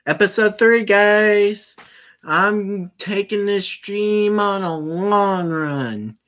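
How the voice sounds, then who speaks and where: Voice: medium pitch, drawn-out words, fairly bright tone. A casual game streamer with an informal, sweary way of talking, chatting live and reading visual novel dialogue aloud.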